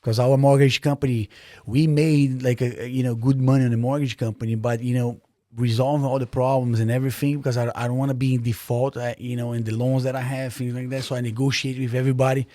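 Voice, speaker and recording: A man's voice: medium pitch, flat delivery, fast, dark tone, no echo, studio quality. A man from Brazil, a native Portuguese speaker with a Brazilian Portuguese accent, talking earnestly as a podcast guest with many fillers and restarts. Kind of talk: casual interview.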